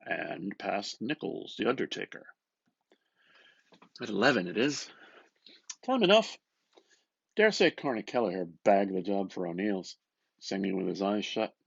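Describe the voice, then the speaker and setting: A man giving an expressive, theatrical reading of literary prose, lingering over his phrases in a slightly muffled recording. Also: fairly low pitch, slow, creaky, pauses often, slightly rough, dry recording. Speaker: reader, artist. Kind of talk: dramatic literary reading aloud.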